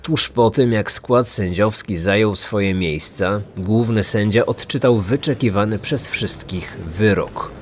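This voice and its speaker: A man's voice: on the low side, a little slow, few pauses, slight background noise. A man reading a scripted, dramatic true-crime narration as a podcast narrator. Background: music.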